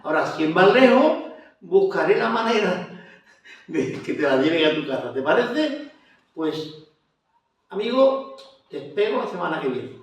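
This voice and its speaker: A man with an Andalusian Spanish accent who hosts an evangelical program, talking at a fairly high pitch in a chatty, affirming way. He sounds a little distant from the mic in a very echoey room. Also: big pitch swings, normal speed, pauses often. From Spain. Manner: warm, chatty, with frequent amens. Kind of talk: evangelical TV interview.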